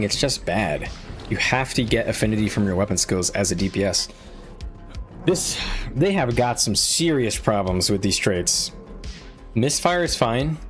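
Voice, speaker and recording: Male game streamer, slightly low voice, irritable and profane, mulling things over aloud with faint music underneath. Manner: frustrated, swearing, thinking aloud. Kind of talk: live gameplay commentary.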